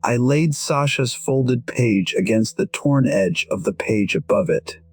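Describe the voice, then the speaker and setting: A man playing a gentle musician in his sixties, quietly and wistfully telling his own story as audio drama narration. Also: medium pitch, unhurried, slightly rough, no echo. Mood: reflective, tender.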